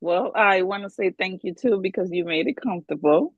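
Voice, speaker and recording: A woman's voice: mid-range voice, fairly quick, no room sound. Bronx woman host, dull dry sound. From the Bronx, New York. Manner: friendly, easygoing, many fillers. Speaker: interview host. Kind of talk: casual interview chat.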